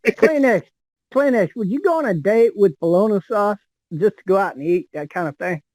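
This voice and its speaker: A man with a high voice keeps cutting in, repeating teasing questions. The sound is dry and close to the mic. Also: lively intonation, normal speed, darkish tone, no echo. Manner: needling, repeats questions to interrupt. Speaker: voice chat participant. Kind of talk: teasing casual chat.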